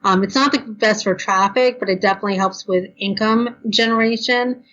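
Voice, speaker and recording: Tired, talkative woman guest; casual, filler-heavy, a little hoarse, muffled remote audio. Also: mid-range voice, steady tone, fairly bright tone. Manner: chatty, fast, lots of ums and you knows. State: tired, losing her voice. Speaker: blogger guest. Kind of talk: podcast interview, casual advice.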